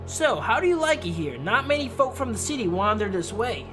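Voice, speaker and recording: A woman's voice: on the high side, big pitch swings, fairly quick, over music. A woman voicing a friendly, lonely old village man in a visual novel, chatty and hospitable. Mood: welcoming. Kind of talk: visual novel character read aloud.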